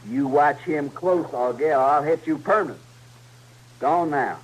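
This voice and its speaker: An actor playing a bullying, hot-tempered outlaw with a sarcastic, menacing rural Western American drawl in a radio drama. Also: male voice, fairly high pitch, normal speed, natural voice. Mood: threatening. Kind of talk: old-time radio Western acting. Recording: slightly noisy vintage radio recording.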